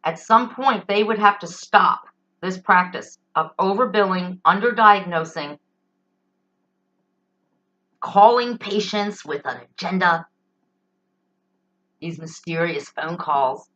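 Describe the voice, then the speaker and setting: A woman's voice: on the low side, slow, long pauses, pushed and bright. A middle-aged American woman hosting a solo talk show, giving an emphatic, indignant opinion monologue in a conversational style. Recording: dull, lo-fi sound.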